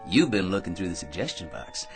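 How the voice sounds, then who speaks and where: An actor playing a brash, eager, self-deluded schemer in a comic radio drama, full of cocky tag questions and nervous chuckles. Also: male voice, sits low, big pitch swings, a little fast, over music. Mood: eager, boastful.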